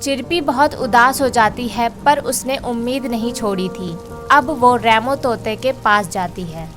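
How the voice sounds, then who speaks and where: A woman narrating a children's cartoon story, speaking slowly and clearly in a storytelling way. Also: on the high side, music in the background.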